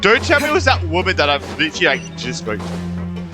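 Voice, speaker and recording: A man's voice: high-pitched, wildly swooping pitch, brisk. A man giving casual gameplay commentary as a streamer, slangy and repeating himself. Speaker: game streamer. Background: game music.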